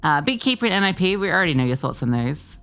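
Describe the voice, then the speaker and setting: Deep-voiced woman caster. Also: big pitch swings, fast, a bit bright, faint music behind. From Australia. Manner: playful, teasing banter. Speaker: esports commentator. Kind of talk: live game commentary and casual chat.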